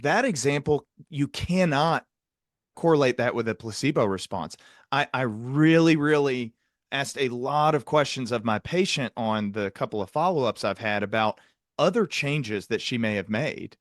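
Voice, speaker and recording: A man's voice: mid-range voice, expressive, moderate pace, dry recording, clean studio sound. A man hosting a conversational clinical discussion, speaking earnestly and repeating words for emphasis. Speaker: podcast host, psychiatrist.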